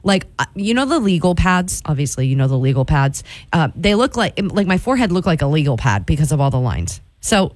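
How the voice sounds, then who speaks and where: A woman in her forties, a radio morning show host, reading a chatty, confiding ad in her own voice with playful, self-mocking swoops up in pitch, close to a studio microphone. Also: dry recording. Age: 40s.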